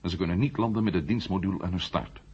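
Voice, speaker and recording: An actor playing an indignant, insistent journalist with a husky voice in a 1970s radio play. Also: male voice, low voice, quick pace, a little mellow. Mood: indignant, urgent. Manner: insistent, challenging. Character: indignant, sharp-minded male journalist challenging the military. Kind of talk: 1970s Dutch science fiction radio drama acting. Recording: dull vintage radio play recording.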